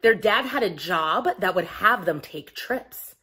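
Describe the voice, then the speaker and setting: A woman hosting a story time for young children, speaking directly to them in simple, clear phrases as she talks and reads aloud. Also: lowish voice, lively intonation, measured pace, bright, forceful tone. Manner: addresses listeners directly, simple explanations with check-in questions.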